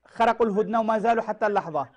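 A man in his thirties hosting a TV call-in show from a studio, quick and friendly, with short acknowledgements to callers. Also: sits high, highs cut off. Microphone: lapel mic.

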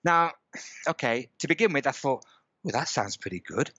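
A man's voice: medium pitch, expressive, normal speed, bright, forceful tone, no room sound, muffled recording. A man in his fifties, a guest on a video call from a room at home, telling a story casually and checking in often with "okay?" and "right?". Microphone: headset on a video call.

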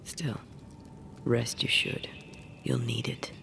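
A woman playing the brooding, resentful ghost of a young woman in a dramatic game, with breathy, measured, old-fashioned speech. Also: rumbling low, expressive, a bit bright, slight background noise, full character voice. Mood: solemn. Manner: measured, archaic phrasing.

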